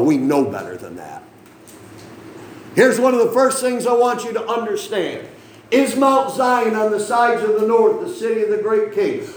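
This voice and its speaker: A male preacher with a notably high voice teaches from scripture, talking to the congregation in an easy, conversational way. He keeps checking in with them through questions and throws in small jokes. The sound rings around a roomy church hall, with a faint hum of ambience behind it. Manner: conversational, asks the congregation questions, joking asides. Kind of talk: sermon, Bible reading and teaching.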